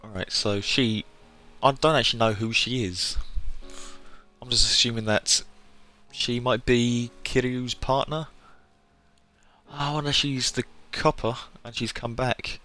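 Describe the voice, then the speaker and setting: A man's voice: medium pitch, fairly even intonation, measured pace, pushed and bright. A man chatting casually as he livestreams a game, in a polite, reassuring and rambling way. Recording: music behind the voice. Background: game music.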